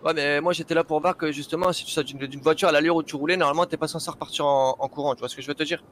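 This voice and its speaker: A man's voice: fairly high pitch, steady tone, very fast, a bit bright, slight background noise. A man explaining himself insistently and casually as a game server admin in voice chat.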